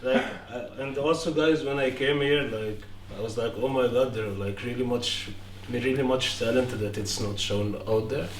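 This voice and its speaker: A man's voice: medium pitch, steady tone, normal speed, few pauses. A young man with an Egyptian accent chatting casually as an interview guest. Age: young adult. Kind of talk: casual chat. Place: living room with big windows. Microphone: handheld microphone. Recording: echoey, distant, some room noise.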